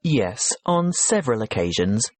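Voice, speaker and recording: A man's voice: medium pitch, very animated, unhurried. Polite business guy at a hotel desk, man acting it out, very up and down intonation, no room echo. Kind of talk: scripted listening-test dialogue.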